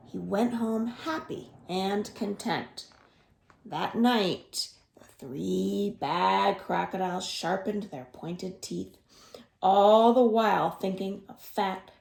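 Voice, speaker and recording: A woman reading a children's story aloud in an expressive, deliberate way, as a storyteller for young children. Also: medium pitch, creaky. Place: indoors at home. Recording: roomy echo of a home room.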